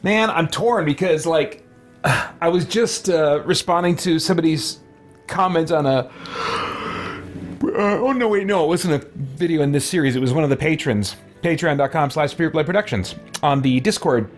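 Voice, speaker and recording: A man with a slightly high voice hosts a video game playthrough. He talks casually, musing and wandering from thought to thought as he decides things aloud. Game music plays quietly underneath. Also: moderate pace. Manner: rambling, musing, thinking aloud. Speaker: gaming host. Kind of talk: casual let's play commentary. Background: faint game music.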